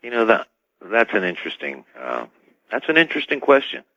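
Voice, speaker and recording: A man answering interview questions as an expert guest on radio, measured and guarded in his wording. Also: lowish voice, wildly swooping pitch, sharp tone, no echo. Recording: dull band-limited radio sound.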